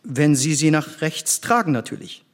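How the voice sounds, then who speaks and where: A man's voice: a little high, sing-song, normal speed. An actor playing an eager, cheeky boy pupil who doubts and questions, in a theatrical reading. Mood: cheeky, matter-of-fact.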